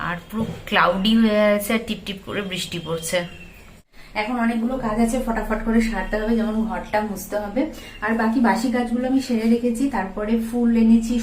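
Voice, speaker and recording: A woman vlogger speaks at a quick clip. She chats casually and directly to her audience, as in a home diary. Some ambient noise sits behind her voice. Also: mid-range voice. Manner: chatty, addresses viewers directly. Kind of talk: casual home vlog, chatting to viewers.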